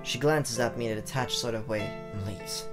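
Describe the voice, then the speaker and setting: Expressive male let's player over game music. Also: mid-range voice, normal speed. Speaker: let's play host. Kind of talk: reading game text aloud with casual reactions.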